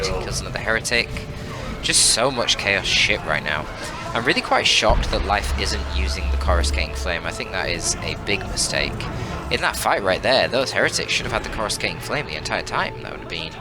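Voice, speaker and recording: A man commentating on a strategy game match as a shoutcaster, explaining tactics analytically as he goes. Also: deep voice. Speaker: esports shoutcaster.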